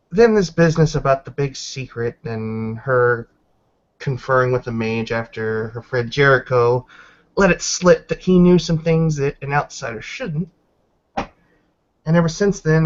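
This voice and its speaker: Stern, rule-minded werewolf woman played by a man, measured and serious, tabletop game, dull lo-fi sound. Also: fairly high pitch.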